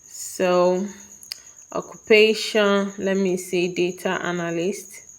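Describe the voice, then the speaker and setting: A woman's voice: on the low side, flat delivery, slow pace, clear, resonant tone. A young woman with a Nigerian accent, patiently guiding viewers step by step through an online form and checking in with "okay?". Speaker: tutorial vlogger. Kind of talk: step-by-step screen walkthrough.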